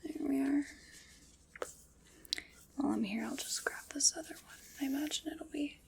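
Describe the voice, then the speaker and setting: A woman whispering as a calm, polite armor fitter who is taking careful measurements in an ASMR roleplay. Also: high voice, gravelly, a little hiss or hum. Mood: gentle, attentive. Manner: soft whispering, slow and attentive.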